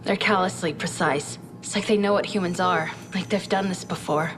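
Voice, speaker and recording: A woman's voice: fairly low pitch, steady tone, normal speed, music in the background, lightly acted, poor audio. A woman playing an earnest, candid scientist who briefs a military commander in a video game cutscene, speaking in a thoughtful, conversational way. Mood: troubled. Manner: conversational, thoughtful, with reflective asides. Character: earnest female scientist advisor, candid, inquisitive and troubled.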